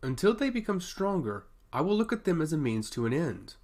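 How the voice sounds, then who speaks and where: A man's voice: a little high, very animated, fairly quick, darkish tone, pitched above the performer's own voice. A man voicing a coldly pragmatic, dutiful commander in a fantasy audiobook, solemn, formal and deferential to his lord. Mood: measured frustration.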